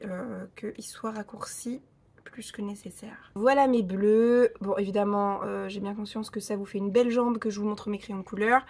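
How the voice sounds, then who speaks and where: Hoarse young woman vlogging casually. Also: mid-range voice. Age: 30s. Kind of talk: casual vlog chat.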